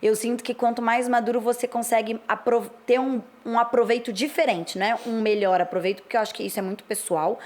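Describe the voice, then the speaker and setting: A woman's voice: fairly high pitch, fairly even intonation, a little fast, few pauses. Candid Brazilian woman in her thirties. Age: 30s. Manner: candid, reflective, talks in long stretches. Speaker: co-host. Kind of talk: casual chat.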